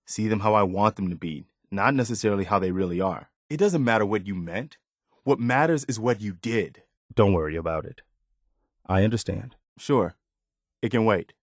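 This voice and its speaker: An actor voicing an earnest, principled, loyal but weary militia officer in a video game, speaking in a sincere, measured, plain-spoken way. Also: male voice, low voice, no echo, studio quality. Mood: understanding, resigned. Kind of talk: video game character dialogue.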